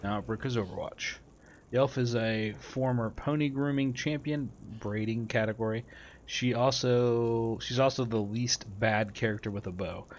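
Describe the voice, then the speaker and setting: A man with a medium-pitched voice hosts a gameplay video. He moves between casual commentary and reading tutorial instructions aloud. Faint game ambience and noise run behind him. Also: fairly even intonation, moderate pace.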